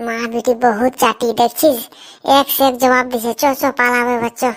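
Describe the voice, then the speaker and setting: A woman's voice: a little high, flat delivery, moderate pace, close to an everyday voice. A woman voicing a nosy, teasing groom's sidekick in a comedy cartoon, chatty and questioning. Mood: nosy, baffled.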